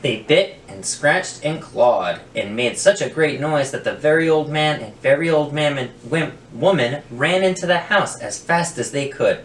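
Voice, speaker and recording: Male picture-book reader, expressive storyteller for children, roomy sound a little off the mic. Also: fairly high pitch, measured pace, hardly any pauses. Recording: roomy, distant mic, faint noise.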